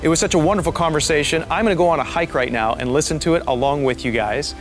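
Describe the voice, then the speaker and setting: A man's voice: a little high, fast talker, faint music behind. A man with a Canadian accent hosting a podcast, chatting in a friendly, enthusiastic and affirming way. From Canada, west coast. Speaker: podcast host. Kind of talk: friendly casual interview chat.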